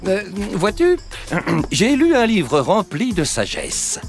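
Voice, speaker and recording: Pompous, foolish grand vizier for a kids' cartoon: highish, hugely animated, blustery and hesitant by turns. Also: male voice, slow pace, faint music behind, exaggerated voice. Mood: smug, boastful. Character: pompous, dim-witted, self-assured grand vizier, adult man. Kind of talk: French children's cartoon acting.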